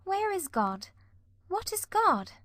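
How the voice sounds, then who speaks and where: Woman as an anxious, high-pitched little girl. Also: very animated, slow pace, no room sound, exaggerated voice. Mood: earnest, questioning. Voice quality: soft, girlish. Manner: earnest, pleading, questioning. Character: anxious, devoted young girl at friend's bedside. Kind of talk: dramatic audiobook reading.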